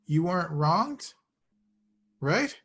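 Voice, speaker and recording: High-voiced, petulant young slacker hero, acted by a man. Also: very animated, takes it slowly, a bit bright, husky, heavily acted. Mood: flustered pleading. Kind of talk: table read of a film script.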